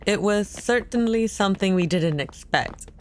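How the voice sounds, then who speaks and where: A woman's voice: medium pitch, lively intonation, moderate pace, slight background noise, natural voice. A woman playing a bemused, polite visitor to a strange city in an audio drama, understated in manner.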